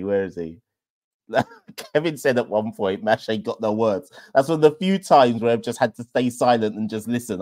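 A man's voice: lowish voice, darkish tone. Enthusiastic male podcast host. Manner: enthusiastic, emphatic. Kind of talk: live call-in show hosting. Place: small room indoors. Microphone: webcam at desk.

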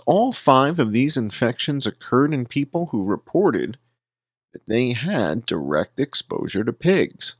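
Slow, low-voiced man reading aloud. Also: no echo, clean studio sound. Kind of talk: deliberate read-aloud of a written document.

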